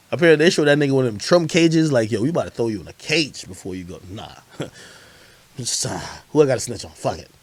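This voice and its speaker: A man chatting casually on a livestream as host, telling stories in an animated, slangy way and swearing freely. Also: medium pitch, fairly quick, slightly rough. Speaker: livestream host commenting on hip hop. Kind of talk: casual livestream chat, storytelling.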